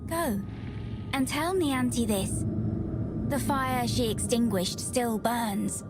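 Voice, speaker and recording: An actress voicing a cryptic female ghost in a video game, speaking slowly and solemnly in riddles. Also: a little high. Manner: slow, solemn, riddling speech. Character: ghostly woman spirit, cryptic, aloof. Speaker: game character. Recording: game audio with loud music behind.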